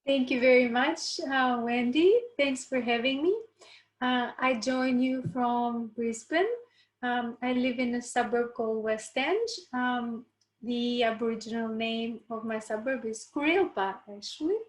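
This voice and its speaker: A woman from Albania speaks on a video call in a relaxed academic talk, full of ums and uhs. Her voice sits a little high, and the room around her echoes. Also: unhurried. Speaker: panel speaker, urban researcher.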